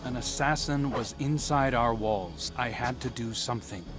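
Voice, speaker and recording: A man's voice: medium pitch, moderate pace, music in the background, lightly acted. An actor playing an earnest young male fighter who reflects on a hard choice. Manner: earnest, reflective, quietly defiant. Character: young male guardian, earnest and guilt-stricken. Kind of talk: video game cutscene dialogue. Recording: game score behind.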